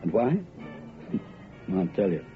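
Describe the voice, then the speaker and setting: A man's voice: sits low, lively intonation, slow, soft background music. An actor playing a wisecracking, unflappable private detective who narrates his own case in slangy radio drama style. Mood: wry, narrating. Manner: wry, slangy. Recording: muffled old radio recording.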